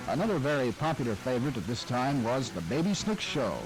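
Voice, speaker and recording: A man narrates in a measured, informative way, his mid-pitched voice moving lively up and down. Music plays clearly behind him. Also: poor audio. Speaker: radio history narrator. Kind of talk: scripted narration.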